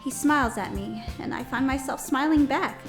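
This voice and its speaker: Very high-voiced earnest schoolgirl heroine actress. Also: normal speed, faint music behind. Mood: hopeful. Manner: bright-hearted, wistful inner narration and dialogue. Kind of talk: visual novel audio drama acting.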